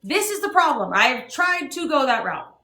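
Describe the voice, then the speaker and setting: High bright woman going off on stream, swearing a lot, echoey room. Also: big pitch swings, normal speed, a little hiss or hum. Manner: blunt, profane, emphatic. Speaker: live stream host. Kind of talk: heated live stream rant.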